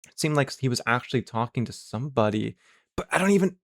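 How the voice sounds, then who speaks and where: A man reacts to videos as a streamer. His voice is medium in pitch, and he talks in a casual, informal commentary style. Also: lively intonation, fast.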